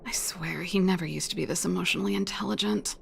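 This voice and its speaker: Very creaky, wry muttering woman. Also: lowish voice, quick pace, fairly bright tone, slight background noise. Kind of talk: video game character voice acting.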